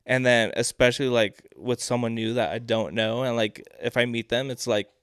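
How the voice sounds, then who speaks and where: A man chatting as a podcast guest, quiet and low-key, with many fillers. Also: steady tone, moderate pace, clear, resonant tone, dry recording, studio quality. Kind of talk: casual chat.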